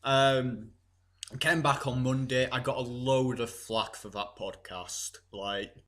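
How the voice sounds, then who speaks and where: A man's voice: mid-range voice, fairly even intonation, takes it slowly, fairly bright tone. A teenage boy, co-hosting a podcast in casual chat, blunt and rambling with plenty of swearing. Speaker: podcast co-host.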